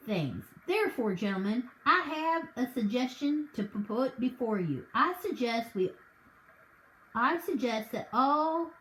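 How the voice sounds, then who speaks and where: A sly village police sergeant voiced by a woman reading a storybook, speaking with slow rustic grammar and mock politeness. His lively voice bounces up and down. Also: high voice, reverberant, voice pushed up, heavily acted, poor audio.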